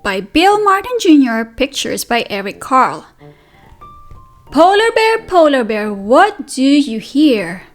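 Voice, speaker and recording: A high-voiced woman leads a children's read-aloud as a preschool teacher. Her delivery is slow and sing-song, with lots of questions and repeated phrases, and it swings widely in pitch. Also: sing-song, faint music behind.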